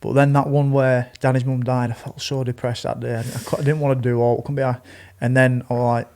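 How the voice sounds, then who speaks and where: A man's voice: medium pitch, little pitch movement, brisk, darkish tone. Male podcast contributor, Northern English, middle register, casual conversational delivery. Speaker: actor on a podcast. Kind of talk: casual chat.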